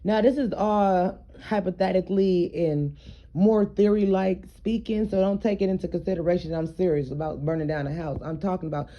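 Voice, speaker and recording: A woman with a raspy, slightly hoarse voice, chatting casually to her followers in a home vlog while she does her hair, loose and streetwise with plenty of fillers. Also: on the low side, fairly even intonation, a little fast, highs cut off. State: hoarse, throat congested. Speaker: vlogger.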